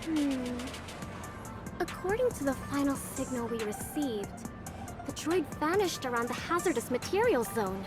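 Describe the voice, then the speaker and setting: A courteous young servant from an anime video game. Her voice is high and very melodic, with a gentle, earnest and deferential manner. Music plays underneath. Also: sing-song, unhurried. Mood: polite. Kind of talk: video game character acting. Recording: music behind the voice.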